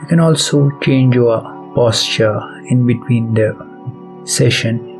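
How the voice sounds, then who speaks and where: A man leading a guided meditation as its host, speaking softly and deliberately in short phrases with pauses between them. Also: medium pitch, poor audio. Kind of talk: guided meditation, soft spoken instruction. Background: background music.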